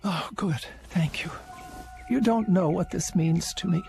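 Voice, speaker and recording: A woman's voice: on the low side, a little slow. Fretful elderly invalid woman, music behind. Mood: relieved. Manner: agitated, pleading, insistent. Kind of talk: radio drama acting.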